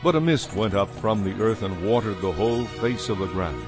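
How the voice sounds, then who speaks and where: A man narrating dramatized scripture in a solemn, measured manner. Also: fairly low pitch, expressive. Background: music.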